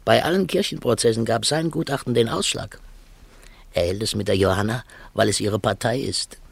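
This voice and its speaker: A learned, sceptical cleric in a classic radio play. The voice is creaky and husky, musing drily, recorded close and dry. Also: male voice, lowish voice, moderate pace, no room sound. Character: sceptical learned churchman discussing a verdict. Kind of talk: classic German radio drama dialogue.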